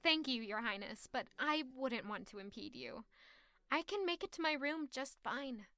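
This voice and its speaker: A woman's voice: high voice, lively intonation, normal speed, pushed and bright, dry recording. An actress playing a stubborn, guarded young servant woman in a castle audio drama, curt and sarcastic beneath formal politeness. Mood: coolly polite. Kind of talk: audio drama acting with first-person narration.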